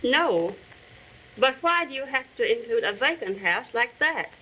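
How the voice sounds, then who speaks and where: Neighbor woman, 1940s training film: high-pitched, crisp bright tone, plain-spoken, on an old muffled soundtrack. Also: big pitch swings, measured pace, heavily acted. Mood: neighborly. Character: female neighbor answering a census taker, mildly curious. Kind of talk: 1940s instructional film acting. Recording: dull, lo-fi 1940 film soundtrack.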